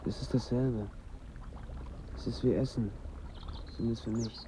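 An actor in a German radio drama playing a pleading drug addict in withdrawal, begging pushily but politely. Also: male voice, mid-range voice, steady tone, measured pace, noisy background, lightly acted.

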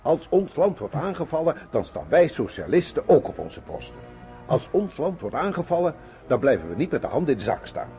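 A man's voice: on the high side, very animated, a little fast, dark tone, highs cut off, soft background music. A man acting a proud, stubborn middle-aged father in a radio drama, declaiming vehemently. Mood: proudly insistent. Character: proud, stubborn German socialist father defending the war.

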